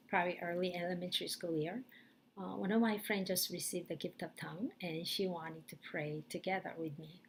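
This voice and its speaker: Gravelly middle-aged woman. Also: lowish voice, a little hiss or hum. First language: Korean. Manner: hesitant, earnest, many fillers. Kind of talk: personal testimony.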